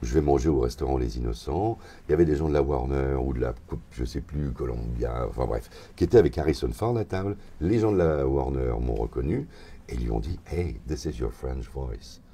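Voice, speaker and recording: A man's voice: rumbling low, a little fast, husky. An older man in his seventies, a voice actor, telling stories relaxedly in an interview, recorded with a lapel mic in a hotel lounge. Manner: relaxed storyteller, gestures while talking. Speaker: voice actor, guest. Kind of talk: interview conversation.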